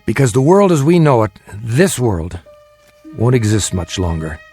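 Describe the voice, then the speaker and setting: A steady family father guarding a secret in a radio drama. His mid-pitched voice is measured but emphatic, moving up and down for weight, with music beneath. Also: slightly rough. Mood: grave, intent.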